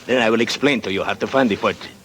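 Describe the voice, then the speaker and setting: A guarded Mexican man, as an actor puts him on, whispering of buried gold in a low-pitched voice with a Mexican Spanish accent. His English is broken and sprinkled with Spanish, and he speaks slowly and carefully, like a man keeping a dangerous secret. A faint hiss sits under this old radio play. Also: lightly acted. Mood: earnest. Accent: Mexican Spanish (acted). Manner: broken English with Spanish words, wary and conspiratorial. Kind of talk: 1940s radio drama acting.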